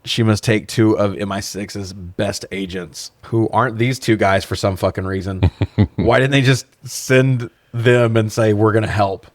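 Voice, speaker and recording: A man with a fairly low voice speaks in a very dry, close recording. He recaps a movie casually as a podcast co-host. His delivery is sarcastic, profane and amused. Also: steady tone, a little slow, no echo. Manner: sarcastic, profane, laughs through remarks.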